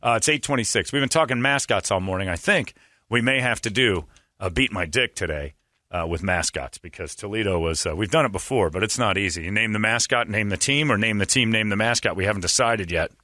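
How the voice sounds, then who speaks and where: A man hosts a morning radio show, chatting loosely and rambling from one point to the next. His voice sits a bit low but has a bright, forceful edge. The studio sound is dry and close. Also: brisk, no echo. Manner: loose, rambling, laughs often. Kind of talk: casual morning radio talk. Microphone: studio microphone.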